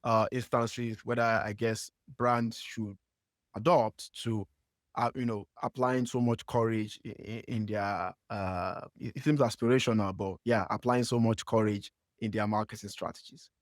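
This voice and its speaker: A man's voice: fairly low pitch, steady tone, measured pace, no room sound, studio quality. A man with a Nigerian accent hosting a marketing podcast, asking long questions with lots of "uh" and restarts. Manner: hesitant, many fillers and restarts when asking questions. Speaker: podcast host. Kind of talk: conversational interview.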